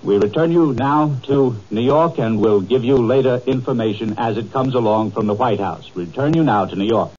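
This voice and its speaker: Male 1940s radio news announcer, husky tone, dull lo-fi broadcast. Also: mid-range voice, moderate pace, few pauses, a little mellow.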